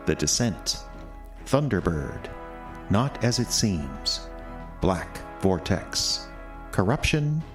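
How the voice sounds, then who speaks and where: A man reading scripted credits as an announcer for an audio drama, formal and deliberate. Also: fairly low pitch.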